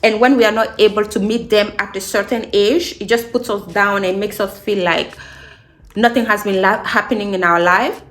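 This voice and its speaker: A woman's voice: mid-range voice, steady tone, moderate pace, fairly bright tone. A woman in her late twenties from Guinea, a beauty vlogger chatting casually in an upbeat, emphatic way, repeating phrases to encourage her viewers. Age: late 20s. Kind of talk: casual get-ready-with-me chat.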